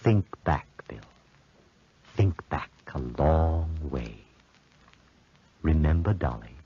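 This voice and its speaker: An actor playing a man's menacing ghostly double, slow, ominous and taunting, in 1940s radio horror style. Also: very deep voice, animated, slightly rough, heavily acted. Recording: dull 1940s radio recording.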